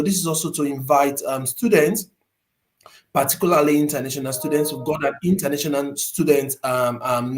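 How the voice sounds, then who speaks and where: Man hosting with frequent ums. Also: mid-range voice. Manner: frequent ums, warm thanks, anecdotal. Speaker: co-host, MBA director. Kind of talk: hesitant conversational panel talk.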